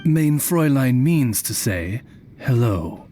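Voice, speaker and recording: A man's voice: mid-range voice, sing-song. A man voicing a courtly talking bird, the patient retainer of a princess, formal and polite in an anime game. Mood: courteous. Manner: formal, polite, measured butler-like diction. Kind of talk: anime video game voice acting. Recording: music behind the voice. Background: music.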